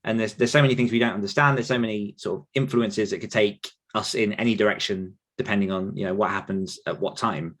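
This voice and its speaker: A man's voice: fairly low pitch, steady tone, fast talker, few pauses, fairly bright tone. A British man in his thirties talking casually and reflectively as an interview guest, wandering as he tells his stories. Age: 30s. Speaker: podcast guest, author.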